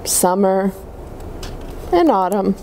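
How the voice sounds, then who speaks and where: A woman voicing a small, gentle young tree in a children's storybook, telling its life in wistful, halting phrases. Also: medium pitch, expressive, a little hiss or hum, poor audio.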